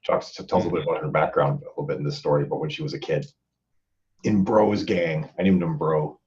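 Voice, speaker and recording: A man's voice: very deep voice, fairly even intonation, quick pace, darkish tone, dull audio. A man hosting a casual group podcast, rambling along talkatively. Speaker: podcast host and author.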